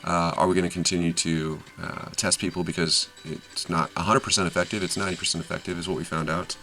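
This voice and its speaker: A man's voice: low voice, little pitch movement, quick pace, bright, forceful tone, soft background music. A man hosting an investing channel, casually summarizing an earnings call with lots of fillers and self-corrections.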